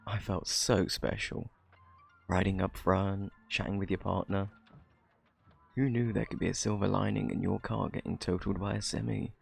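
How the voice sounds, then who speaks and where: Male actor as flirty criminal, British accent, very gravelly low voice, smug teasing drawl, ASMR roleplay. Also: fairly even intonation, normal speed, faint music behind, heavily acted. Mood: playful, smug. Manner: teasing, drawling, theatrically coy.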